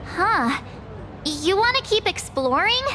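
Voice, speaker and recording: A woman voicing a perky, chatty teenage girl adventurer in an anime-style video game, expressive, casual and teasing. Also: very high voice, slow, slight background noise, heavily acted. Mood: earnest, wary. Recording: background music under the voice.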